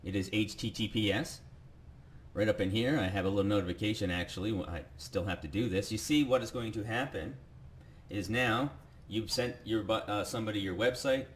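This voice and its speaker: A man presenting a software demo as a casual, enthusiastic sales pitch, talking the viewer through each step. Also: fairly quick, slight background noise.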